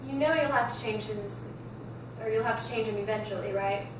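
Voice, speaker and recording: A woman's voice: a little high, brisk, natural voice. A woman reading the part of an easygoing big sister and new mother in an amateur script table read. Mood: teasing. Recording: very echoey room, distant mic, clear background noise.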